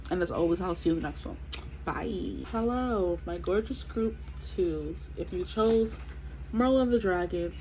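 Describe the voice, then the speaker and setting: A woman's voice: lowish voice, measured pace, warm tone, slight background noise, poor audio. A woman in her twenties giving a chatty, rambling tarot reading with a stuffy nose from allergies. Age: 20s. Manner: chatty, rambling, many fillers. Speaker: tarot reader. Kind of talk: casual tarot reading to camera.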